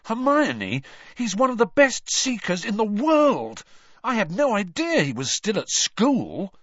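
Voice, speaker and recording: A man voicing an excitable teenage boy wizard who is eager and scathing by turns, on a dull, dry recording. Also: medium pitch, moderate pace, dry recording. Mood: awestruck.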